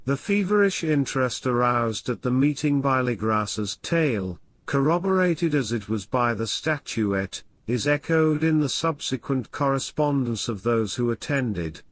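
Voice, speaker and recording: A man with a medium-pitched voice narrates an old weird tale as an audiobook. His delivery is formal and measured, shaped like literary prose, and his phrases settle downward as each sentence closes. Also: no room sound. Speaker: audiobook reader. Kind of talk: scripted narration of a classic horror tale.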